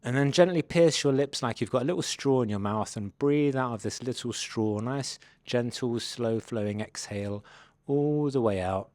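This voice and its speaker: A man leading a guided body scan meditation, giving gentle, unhurried invitations as a mindfulness instructor. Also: medium pitch, dry recording, clean studio sound.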